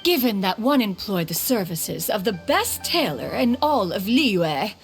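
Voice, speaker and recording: A woman's voice: medium pitch, big pitch swings, measured pace. A woman playing an imperious, mature immortal master in a video game, with stately, formal, archaic speech. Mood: proud, gracious. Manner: formal, archaic, refers to self as "one". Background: music.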